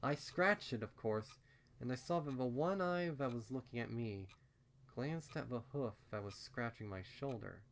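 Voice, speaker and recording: A man reading a first-person fan fiction story as narrator, in a measured, subdued manner. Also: mid-range voice, a little hiss or hum.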